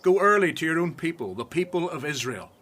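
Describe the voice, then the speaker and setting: Jesus sending out his disciples, speaking with solemn, measured authority in a radio play. His voice sits fairly high, with a bright, forceful edge and lively shifts in pitch. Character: Jesus, solemn, commanding male teacher. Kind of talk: radio drama acting.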